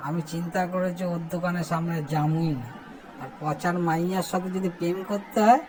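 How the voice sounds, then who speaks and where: A woman's voice: fairly low pitch, fairly even intonation, brisk, a little mellow, a little hiss or hum, close to an everyday voice, poor audio. A woman playing a cheeky, put-upon young lad in an amateur comic cartoon, indignant and complaining in an eastern Bengali accent. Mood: grumbling.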